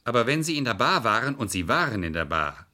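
An actor playing a shrewd, controlled defence lawyer in a German crime radio play, formally polite and insistent. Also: male voice, medium pitch, sing-song, quick pace, sharp tone. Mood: coolly pressing. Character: shrewd, coolly calculating male defence lawyer.

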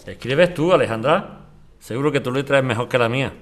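A man's voice: mid-range voice, expressive, a little fast, lightly acted. A man with a Spanish accent from Spain playing a plain-spoken, defiant neighbour and father in an audio drama. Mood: easygoing. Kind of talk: scripted radio drama dialogue.

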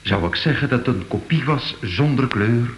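An actor playing a sober, thoughtful art investigator in a radio drama, speaking in a measured, pondering way. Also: male voice, mid-range voice, lively intonation, lightly acted. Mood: intrigued. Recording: echoey, faintly noisy old radio play.

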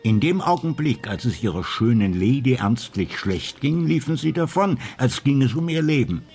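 An actor playing a deliberate older investigator in a radio crime drama, speaking in a measured, analytical way. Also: male voice, medium pitch, big pitch swings, darkish tone, faint music behind.

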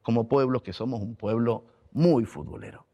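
A man's voice: medium pitch, normal speed, lo-fi sound. A man from Argentina with an Argentine accent, telling a personal story in an emotional, emphatic way, repeating words for weight. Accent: Argentine Spanish. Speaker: radio contributor and writer.

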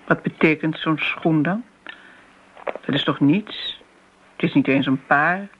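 An actress playing a disdainful older woman who reminisces briskly in a radio drama. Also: low voice. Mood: defensive, puzzled. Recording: dull, noisy old radio play recording.

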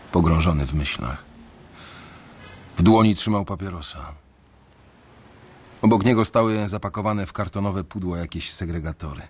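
An actor narrating as a young male bank analyst in a radio drama, measured and reflective. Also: low voice, slightly rough.

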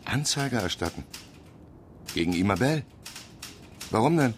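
A man's voice: mid-range voice, animated, a little slow, a little hiss or hum, natural voice. An actor playing a naive, devoted and meek man in a radio crime drama, deferential and earnest in his speech. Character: meek, naive, devoted male suspect in love.

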